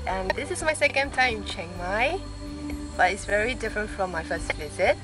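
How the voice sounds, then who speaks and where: A middle-aged woman from Singapore talks cheerfully about her trip and breaks into laughs as she goes. Steady music sits clearly behind her voice. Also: medium pitch, normal speed. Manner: cheerful, laughing between phrases. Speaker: workshop participant. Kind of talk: casual interview answers. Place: outdoor bamboo veranda. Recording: music playing underneath.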